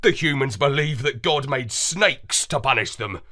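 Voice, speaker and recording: A woman narrating a children's story with an expressive storybook cadence. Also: rumbling low, measured pace.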